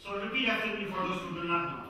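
A man with a Turkish accent, from Turkey, giving a humorous university lecture in measured, emphatic phrases, recorded in a campus lecture hall. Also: high voice, fairly even intonation, noisy background. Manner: humorous, jokes with the audience. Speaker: guest lecturer. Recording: echoey hall recorded from far off.

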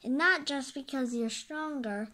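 A woman's voice: sits high, unhurried, pushed and bright. A woman reading a children's graphic novel aloud and voicing the dialogue, stumbling over and repeating words now and then. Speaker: storybook reader.

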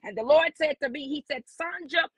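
A woman's voice: high-pitched, brisk, dry recording. A woman preaching as a guest prophetess on a live stream, fervent and repetitive, building declarations. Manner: fervent, repeats lines, rising declarations. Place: online social media live stream.

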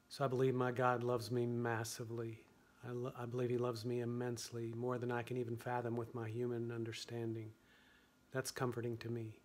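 An older man with a hoarse, weakened voice, talking earnestly and quietly to the camera about a worship song he wrote. He is seated at home at a piano, speaking into a stand microphone. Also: medium pitch. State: hoarse, voice weakened after surgery. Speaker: worship songwriter teaching a song. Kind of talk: earnest spoken talk to camera. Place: home room with a piano, indoors. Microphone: stand microphone in front of him.

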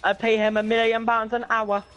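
High-voiced teasing boy gamer. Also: monotone, normal speed, fairly bright tone, dull audio. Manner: teasing, giggly, playful. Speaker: gamer streaming with friends. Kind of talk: casual game chat.

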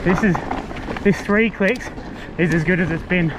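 A man's voice: sits high, unhurried. A man giving casual, laid-back, fragmented gear commentary while riding a mountain bike. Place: outdoors on a bushland trail, riding a bike. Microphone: action camera worn while riding. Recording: muffled action-camera audio, loud wind and trail noise.

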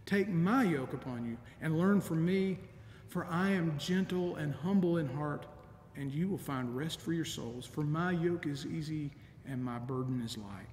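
A middle-aged man preaching a sermon as a deacon, measured and earnest, with soft laughs. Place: large empty church sanctuary. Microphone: stand mic in front of lectern, camera at a distance. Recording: echoey church with long reverb, faint rumble.

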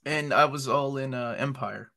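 A man's voice: medium pitch, normal speed, vocal fry, pushed and bright. A man who speaks English as a second language, hosting a casual panel chat over a video call, joking and reading product listings aloud. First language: not English. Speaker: host.

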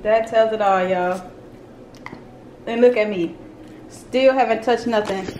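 A woman's voice: medium pitch, measured pace, background noise. A young woman vlogging as she cooks in her home kitchen, chatting casually and calling her viewers y'all. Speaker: cooking vlogger. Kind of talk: casual cooking chat. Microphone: camera on tripod and phone.

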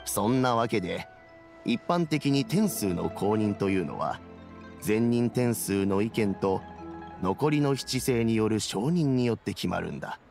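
An actor playing a kindly, wise elderly statesman in a video game, speaking in a measured, old-fashioned way. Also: fairly low pitch, animated, vocal fry, over music. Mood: explanatory, calm. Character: dignified kindly elderly statesman, grey-haired, calm and wise. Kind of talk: Japanese video game voice acting.

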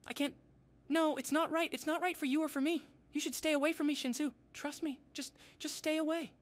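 A woman voicing a secretive, frightened teenage boy vigilante in a fanfiction audiobook, emotional and halting, breaking into stammers. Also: high-pitched, fairly even intonation, no echo, lightly acted. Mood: pleading. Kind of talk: podfic voice acting.

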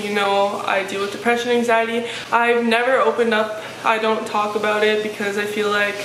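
A deep-voiced young woman in her twenties chats frankly through viewer questions, leaning on casual fillers as she talks. Her delivery stays fairly flat in pitch. She sits in an echoey living room, with a faint hiss under her voice. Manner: chatty, frank, lots of "like" fillers. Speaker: fitness vlogger. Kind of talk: casual Q&A vlog. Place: living room, seated on a sofa.

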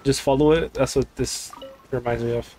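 A man's voice: mid-range voice, steady tone, moderate pace. A young man giving casual, irreverent, slangy commentary as he streams a video game. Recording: game music in background. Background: game music.

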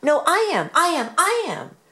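Lady doing needy squabbling little kitties for a storybook, way up high and mewing. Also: wildly swooping pitch, normal speed, sharp tone, heavily acted. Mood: boastful, insistent. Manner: insistent, mewing exclamations. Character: hordes of small, clamoring, quarrelsome vain cats. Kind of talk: storybook character voices.

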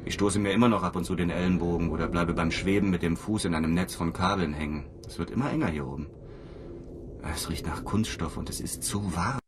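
Radio drama cosmonaut played by a man: low, brooding, lonely and bitter, with clearly noisy ambience behind him. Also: a little fast, close to an everyday voice. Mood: wistful. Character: lonely, embittered male cosmonaut aboard a space station. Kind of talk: German radio drama acting.

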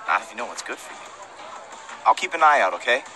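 A man's voice: mid-range voice, sing-song, quick pace, bright, forceful tone. An actor playing a laid-back, slightly sheepish young man in a video game, casual and awkwardly friendly. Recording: street ambience behind the voice.

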